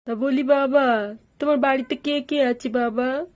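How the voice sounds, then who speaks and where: A hospitable mother acted by a woman speaks in a fairly high voice. She fusses over her guest in a homely, welcoming manner, as in a children's doll tale. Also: steady tone, measured pace. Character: hospitable, fussing mother of a grown daughter.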